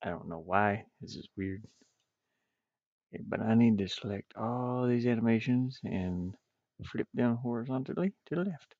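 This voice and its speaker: A man with a gravelly voice rambles and mutters to himself, thinking aloud casually as he streams his game development work. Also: on the low side, animated, moderate pace, a little mellow, no echo. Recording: dull, muffled, dry lo-fi microphone.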